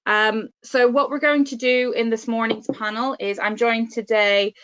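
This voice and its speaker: A woman's voice: a little high, steady tone, a little slow, a bit bright, smooth. A woman, a career consultant, moderating an online workshop in an upbeat, friendly way with many fillers. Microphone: video call. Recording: dull lo-fi video call audio.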